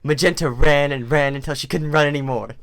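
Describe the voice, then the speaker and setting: A woman's voice: low-pitched, fairly even intonation, a little fast, a little hiss or hum. A woman reading fan fiction aloud as an amateur, in a mock-serious dramatic style.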